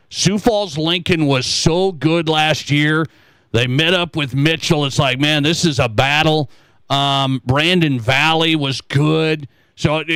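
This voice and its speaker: A man with a slightly high voice hosts sports talk radio. He speaks casually and conversationally, in short, punchy phrases. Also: a bit bright, clear, resonant tone, no room sound.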